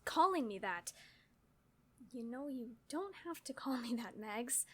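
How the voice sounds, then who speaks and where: Bright, high-voiced brash captain played by a woman. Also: expressive, unhurried, breathy edge, exaggerated voice. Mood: indignant. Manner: dramatic, gloating, casual cursing. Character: brash, theatrical, playful female spaceship captain. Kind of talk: scripted audio drama acting.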